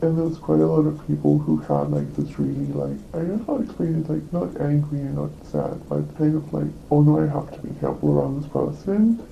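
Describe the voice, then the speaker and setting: Man, gravelly and slightly high-voiced, hesitant and halting, dull lo-fi recording. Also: very animated, warm tone, a little hiss or hum. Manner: halting, hesitant, with many fillers and restarts. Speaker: employee reporting a complaint. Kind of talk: recorded workplace meeting.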